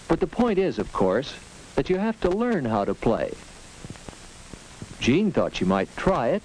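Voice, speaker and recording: A man's voice: medium pitch, rough recording. A man narrating a 1940s educational film, earnest and instructive, on an old soundtrack with faint hiss and clicks. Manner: earnest, instructive, lively delivery.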